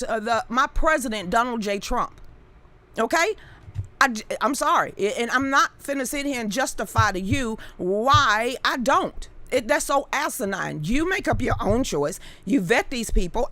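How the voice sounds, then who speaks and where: A woman's voice: on the high side, sharp tone. A woman, ranting bluntly and heatedly to her live-stream viewers with plenty of cursing. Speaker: live-stream political commentator. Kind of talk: unscripted live-stream rant.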